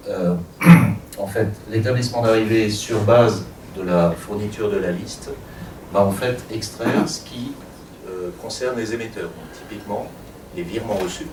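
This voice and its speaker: A man's voice: lowish voice, moderate pace. A man presenting a technical workshop to a room of attendees, explaining step by step with frequent fillers and light asides. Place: conference room. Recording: echoey room from far off, audible noise.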